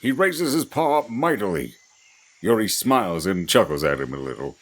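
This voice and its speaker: A man's voice: on the low side, very animated, moderate pace. A man narrating a scripted fantasy audio drama in a theatrical, descriptive storytelling manner.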